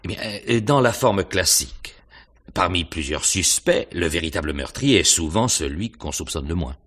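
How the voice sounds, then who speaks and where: Very deep, husky male police inspector. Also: expressive, fairly bright tone, exaggerated voice. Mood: musing. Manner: hesitant, musing questioning with hums and pauses. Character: male police inspector, probing, pensive, methodical. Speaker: actor in a radio mystery. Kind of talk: French radio mystery drama acting.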